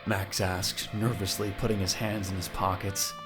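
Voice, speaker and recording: A man's voice: low voice, flat delivery, moderate pace, music in the background. A man narrating an audio drama from a script.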